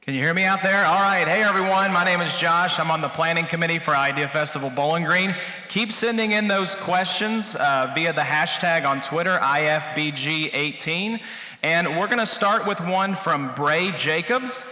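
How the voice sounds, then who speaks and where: A man's voice: on the high side, normal speed, sharp tone. A man hosting at a live event, reading out audience questions to a panel. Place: large event hall. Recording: echoey hall with long reverb.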